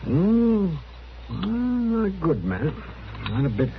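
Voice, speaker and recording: An actor playing a crusty but kindly older frontier doctor who grumbles and hems in an old-time radio western. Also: male voice, high voice, very animated, slow, heavily acted. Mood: grave, confiding. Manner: grumbling, hemming, folksy. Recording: muffled, noisy old radio recording.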